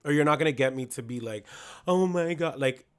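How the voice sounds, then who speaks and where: A hoarse man co-hosting a podcast, chatting candidly about his week and quoting himself in asides. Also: a little high, a little fast. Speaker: podcast co-host. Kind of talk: casual chat.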